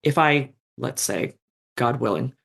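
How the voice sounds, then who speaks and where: Animated, outspoken podcast talker. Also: a little slow, gravelly. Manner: animated, swears, big jumps in pitch. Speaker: podcast participant. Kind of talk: casual podcast conversation.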